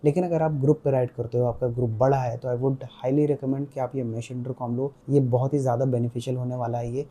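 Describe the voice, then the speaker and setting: A man's voice: medium pitch, steady tone, fairly quick, hardly any pauses, dark tone. A man with an Indian accent giving a casual product review, explaining step by step and mixing Hindi with English. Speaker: motorcycle gear reviewer.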